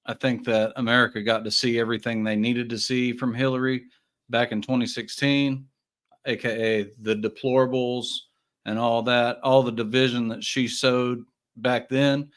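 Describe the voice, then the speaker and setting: A man's voice: mid-range voice, fairly even intonation, normal speed, a bit bright. Guy calling in to a live chat, folksy and slangy, middle-range voice. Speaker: guest caller. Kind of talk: casual opinion chat.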